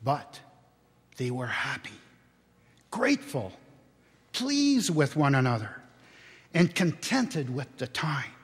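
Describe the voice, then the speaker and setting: A man giving a theatrical, expressive live storybook narration in a church. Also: medium pitch, slow pace, long pauses, breathy edge. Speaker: dramatic reader. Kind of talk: scripted storybook narration before an audience. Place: inside a church, live reading. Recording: church hall with long reverb.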